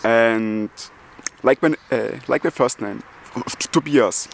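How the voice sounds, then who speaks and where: Slow-talking German man who stutters. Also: mid-range voice, creaky, slight background noise. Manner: stutters with repeated sounds and restarts; chuckles between phrases. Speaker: YouTuber. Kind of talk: casual vlog talk.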